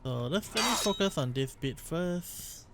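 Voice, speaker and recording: A man's voice: on the high side, expressive, unhurried, heavy background noise. A young man from Singapore, hosting a casual let's play and rambling on, often correcting himself mid-sentence. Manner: rambling, self-correcting, chatty. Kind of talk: casual gameplay commentary.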